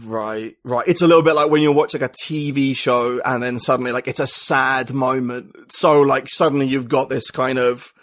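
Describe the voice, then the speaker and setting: A man's voice: medium pitch, steady tone, normal speed, hardly any pauses, a bit bright, dry recording. Rambling man with a dry sound. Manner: rambling, full of "like" and "you know". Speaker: podcast guest. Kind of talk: casual conversation.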